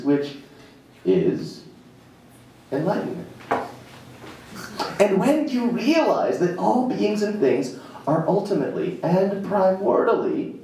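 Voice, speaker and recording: A man performs a spoken-word monologue in a very reverberant live venue, picked up from a distance with some noise. His delivery is slow and theatrical, with emphatic pauses and a playful edge. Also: on the high side, mellow. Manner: emphatic dramatic pauses, playful asides to audience. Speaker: reader performing a written monologue. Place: indoor jazz club stage, live event. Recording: very echoey live venue, distant mic, noisy.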